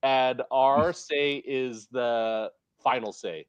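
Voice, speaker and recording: A man from Canada with a Canadian accent, co-hosting a casual stream, enthusiastic and quick to laugh. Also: mid-range voice, fairly even intonation, clear, resonant tone, no room sound. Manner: giggly, enthusiastic, fast bursts. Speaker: co-host. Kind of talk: casual live stream chat.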